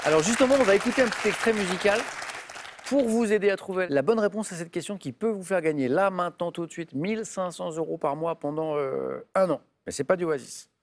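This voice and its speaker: Quiz host, male, upbeat and chatty, pitched a little high, punchy clue reading. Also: fairly quick, some chatter behind, slight background noise. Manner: upbeat, chatty, reads clues with emphasis. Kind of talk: lively game show hosting. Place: television studio.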